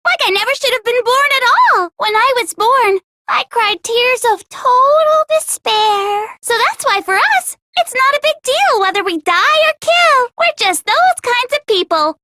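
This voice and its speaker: A woman's voice: extremely high pitch, expressive, measured pace, few pauses, noisy background. A woman voicing a cutesy, flippant teenage girl villain in an anime video game, mock-innocent and breezily nihilistic.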